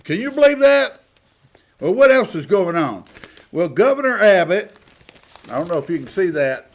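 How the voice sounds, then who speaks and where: A man's voice: high voice, very animated, moderate pace. A man giving informal, opinionated news commentary in a blunt, folksy, indignant manner, often throwing out rhetorical questions. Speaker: online commentator.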